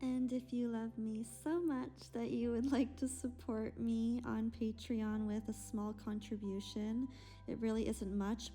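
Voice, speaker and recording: A young woman teaching a yoga stretch class in a soft, sleepy, gentle voice, recorded by a camera across a small room. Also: medium pitch. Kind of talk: guided yoga class instruction. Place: small pink-lit room, indoors.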